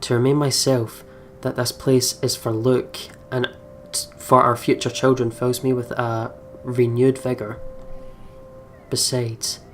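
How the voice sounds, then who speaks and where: A woman's voice: rumbling low, flat delivery, a little slow. A woman hosting a let's play, reading the game's narration dramatically and expressively with candid, profane asides. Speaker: let's play video host. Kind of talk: voiceover reading of game narration with candid reactions. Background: game music.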